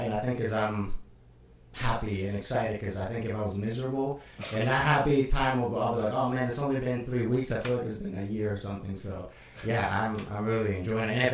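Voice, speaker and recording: A man's voice: fairly low pitch, distant mic, a little hiss or hum. Man talking in an echoey room. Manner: relaxed, with frequent "uh" fillers. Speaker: co-host. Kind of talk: live stream chat.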